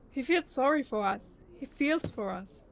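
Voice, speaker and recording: A woman plays a young girl-group singer in an audio drama. Her voice is very high, and she comes across as anxious and earnest. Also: exaggerated voice.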